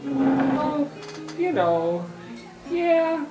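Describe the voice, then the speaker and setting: Casting: wistful young student druid, played by a woman, hesitant and plain-spoken, slightly high voice, music behind. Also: sing-song, drawn-out words, exaggerated voice, low-quality recording. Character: Shelley, wistful student druid missing her cat.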